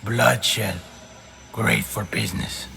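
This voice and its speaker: An actor playing a brutal, cynical mercenary henchman in a video game cutscene, blunt, crude and sardonic, with a gravelly voice. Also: on the low side, fairly even intonation, slow, sharp tone, slight background noise, exaggerated voice. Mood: bloodthirsty, mocking.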